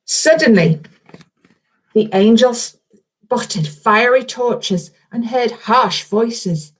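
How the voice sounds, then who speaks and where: A woman with a fairly high voice reads a picture book to small children. She goes slowly and expressively, often breaking off to chat straight to her listeners. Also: breathy edge, low-quality recording. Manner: chatty, expressive, addresses young listeners directly. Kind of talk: animated children's story reading with singalong.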